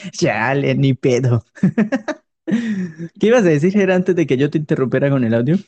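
A man's voice: fairly high pitch, animated, unhurried, a little mellow, husky, lo-fi sound. A man with a Colombian accent chatting casually and jokily as a streamer being interviewed. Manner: jokey. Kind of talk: casual improvised chat.

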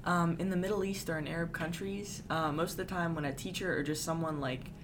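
Low-voiced teen girl guest, halting, clear room noise behind. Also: steady tone. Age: teens. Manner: hesitant, lots of fillers. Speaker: student guest. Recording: noisy room.

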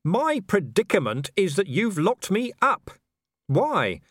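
A man voicing a gruff, exasperated middle-aged commander who talks bluntly and indignantly in an audiobook. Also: high voice, wildly swooping pitch, slow, no echo, studio quality, pitched above the performer's own voice, exaggerated voice. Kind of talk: audiobook character voice.

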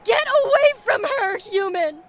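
A woman's voice: extremely high pitch, expressive, unhurried, music in the background. A woman playing a fierce, protective, vengeful mermaid sister in an audio drama, with an imperious, threatening delivery. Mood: enraged, vengeful.

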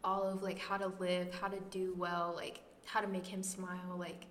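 Bright-voiced teen woman, echoey room. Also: on the low side, completely flat delivery, normal speed. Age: late teens. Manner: rambling, heavy on "like". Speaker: podcast co-host. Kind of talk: casual faith chat. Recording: echoey room with long reverb, faint hiss.

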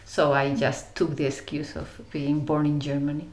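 A woman from Spain with a Spanish accent, telling personal stories reflectively and haltingly as an interview guest. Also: deep voice, little pitch movement, darkish tone. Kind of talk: reflective personal storytelling in conversation. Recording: roomy echo around the voice.

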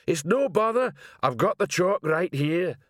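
Man acting a big troll police sergeant, raised pitch, blunt, literal, dutiful, storybook character. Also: expressive, measured pace, dry recording, studio quality. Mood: helpful.

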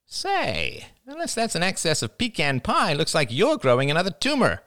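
A man voicing a brash, mocking young anarchist woman in a high, nasal, theatrical voice for a story. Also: wildly swooping pitch, normal speed, fairly bright tone, no room sound, studio quality. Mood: taunting. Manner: sarcastic, taunting, exaggerated.

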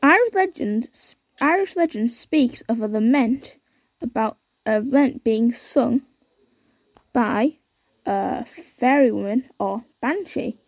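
A woman's voice: fairly high pitch, darkish tone, no room sound. A young woman hosting a podcast, chatting casually and reading aloud with lots of fillers and unsure asides. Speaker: podcast host.

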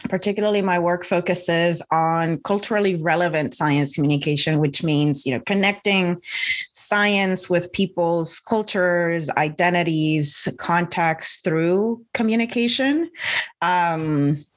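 A woman's voice: lowish voice, measured pace. Puerto Rican woman, podcast guest. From Puerto Rico. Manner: thoughtful, with frequent fillers. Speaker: podcast guest, science communicator. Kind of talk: conversational interview.

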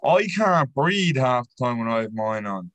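A man's voice: mid-range voice, sing-song, moderate pace, a bit bright, no room sound. A young man co-hosting a podcast in loose, sweary casual chat. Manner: loose and sweary, laughs often. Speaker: podcast co-host.

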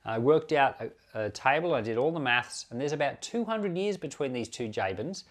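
A man's voice: mid-range voice, expressive, moderate pace. A man speaking as a pastor on a Bible podcast, explaining scripture in an informal, unscripted way with self-corrections and asides. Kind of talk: informal Bible commentary, teaching.

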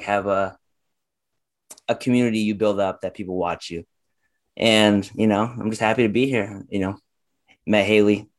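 A young man, a guest on a podcast, talking casually and haltingly with many fillers. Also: lowish voice. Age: young adult. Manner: halting, lots of "like" and "uh".

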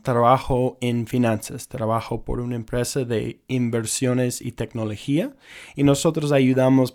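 A man's voice: medium pitch, gravelly, darkish tone. A young American man with an English-speaker accent, hosting a casual personal podcast in earnest, careful non-native phrasing with small slips. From United States. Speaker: podcast host.

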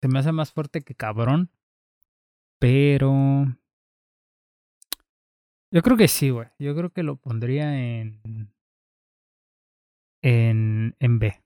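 A man's voice: mid-range voice, warm tone, clear, resonant tone, no room sound, studio quality. A young man with a Mexican accent, co-hosting a casual podcast chat full of slang. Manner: slow, drawn-out, casual slang. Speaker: podcast co-host.

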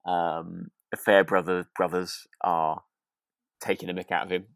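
A low-voiced man co-hosts a relaxed podcast about a radio drama, recorded close and dry. He talks casually and hesitantly, circling back to rephrase, with an amused manner. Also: a little slow, vocal fry, dry recording. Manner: hesitant, often rephrasing and repeating words; amused, chuckling often. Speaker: podcast co-host.

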